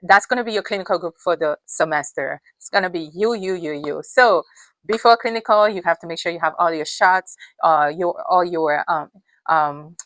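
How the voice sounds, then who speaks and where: A woman's voice: lowish voice, normal speed, a bit bright, dry recording. A woman, a nurse whose first language is not English, giving emphatic casual advice with many fillers and repeated points.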